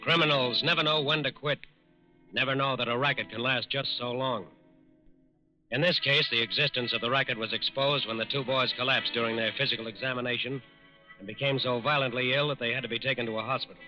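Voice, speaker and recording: A man's voice: mid-range voice, steady tone, brisk, bright, forceful tone. A man narrating a scripted 1940s radio crime show in a dramatic, emphatic, authoritative manner. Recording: muffled 1940s radio recording. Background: music.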